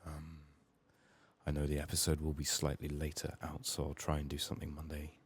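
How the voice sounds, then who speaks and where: A soft-spoken man with a sick, strained voice, muttering downbeat, sweary ASMR commentary as he plays a video game. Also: a bass voice, completely flat delivery, fairly quick, husky.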